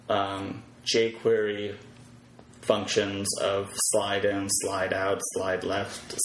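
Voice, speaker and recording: A man with a fairly low voice explains things casually as an interview guest. He works through his thoughts out loud in a halting way. The room is clearly echoey, with faint noise behind him. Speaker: podcast guest, web developer.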